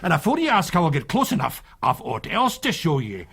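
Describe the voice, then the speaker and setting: An actor playing a canny, worldly Scottish villager with broad dialect and a wry, scheming manner in a period radio drama. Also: male voice, on the high side, huge pitch swings, a little fast, creaky, fairly bright tone, slightly rough, full character voice. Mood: gleeful, bold.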